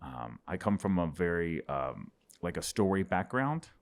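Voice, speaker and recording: A man's voice: deep voice, measured pace. A man in his thirties from Dallas, a stand-up comedian talking reflectively about his life in a home studio. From Dallas, Texas. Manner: reflective storyteller, animated hand gestures. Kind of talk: casual interview chat. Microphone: boom-mounted studio mic with headphones.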